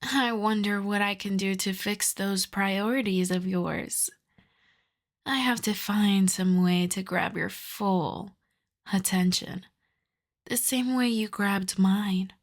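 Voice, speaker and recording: A woman acts out a female vampire, possessive and seductive, in an intimate ASMR roleplay. Her pitch is medium and her pace is very slow and lingering. She teases softly, often slipping into a breathy half-whisper. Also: fairly even intonation.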